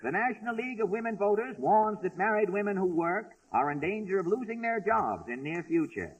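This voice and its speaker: A man's voice: sits high, lively intonation, fairly quick, slightly rough. A man announcing mock newsreel headlines on 1930s radio in a clipped, declamatory manner. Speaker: comic radio news announcer. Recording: muffled old 1930s radio recording.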